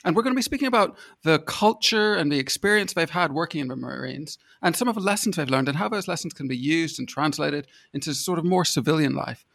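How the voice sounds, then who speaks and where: A man's voice: on the high side, lively intonation, fast, gravelly, hardly any pauses, fairly bright tone. A man hosting a podcast and interviewing guests in an upbeat, encouraging way, often saying "sort of" and "um". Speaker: podcast host.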